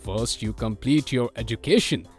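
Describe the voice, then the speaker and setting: A man voicing a kindly, sensible father advising his son in an animated children's story. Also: medium pitch, normal speed, faint music behind, close to an everyday voice. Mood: patient, advising.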